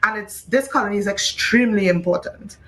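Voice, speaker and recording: A woman from Trinidad and Tobago, an author talking casually in an interview, lively and laughing often, with many "you know"s. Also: mid-range voice, fairly quick, slight background noise, rough recording. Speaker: author guest.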